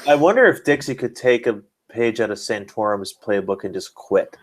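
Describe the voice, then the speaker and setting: A man's voice: lowish voice, lively intonation, moderate pace. A man hosting a casual wrestling podcast, ranting and bantering in an emphatic, sarcastic way. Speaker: podcast host.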